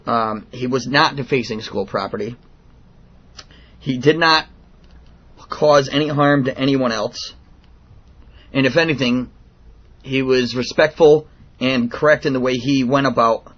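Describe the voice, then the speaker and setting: A man hosting a radio show, giving opinions in a halting, blunt, indignant monologue. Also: mid-range voice, a bit bright, muffled recording.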